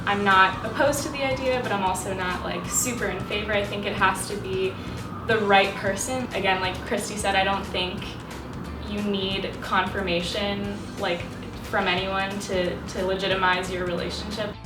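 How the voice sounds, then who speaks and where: A woman's voice: medium pitch, fairly even intonation, normal speed. A nineteen-year-old woman chatting thoughtfully on a studio sofa, saying like often. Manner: thoughtful, uses like a lot. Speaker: panel guest. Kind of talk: candid casual chat. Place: studio set with sofa, indoors. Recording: roomy, distant, music bed.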